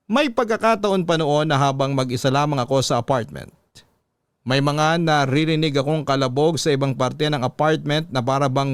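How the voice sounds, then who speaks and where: A man's voice: fairly high pitch, smooth, dry recording, studio quality, natural voice. A man with a Filipino accent giving a dramatic scripted narration of a story. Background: background music.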